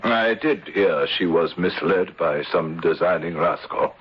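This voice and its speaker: A protective, serious gentleman sleuth in a radio mystery. His voice is low and very rough-edged, his phrasing measured and formal. It comes through a muffled old broadcast. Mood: probing. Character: grave, protective gentleman insurance investigator. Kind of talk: old-time radio drama acting.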